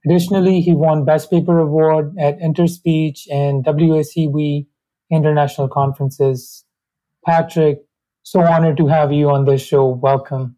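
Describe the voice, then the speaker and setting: Warm-voiced host introducing a guest. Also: male voice, a little high, level tone, poor audio. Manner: measured, formal, slightly uneven grammar. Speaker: podcast host. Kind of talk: scripted intro and interview questions.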